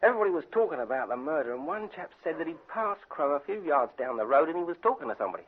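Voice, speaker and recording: An actor playing a chatty British detective's assistant in a radio drama, colloquial and informal. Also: male voice, fairly high pitch, big pitch swings, racing, husky. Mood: chatty, puzzled. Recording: narrow-band vintage radio recording.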